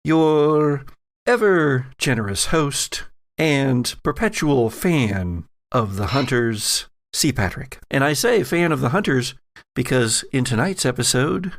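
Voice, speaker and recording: A man hosting and narrating an actual play audio drama as game master, with theatrical, drawn-out emphasis. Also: medium pitch, lively intonation, vocal fry, dry recording, studio quality. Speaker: podcast host and game master.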